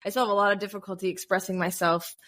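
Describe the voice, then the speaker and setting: A woman in her thirties from the United States, a podcast guest chatting casually and telling lively stories. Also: very fast. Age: 30s. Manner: animated storyteller, bursts into high-pitched acted quotes and laughs. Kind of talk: casual interview chat.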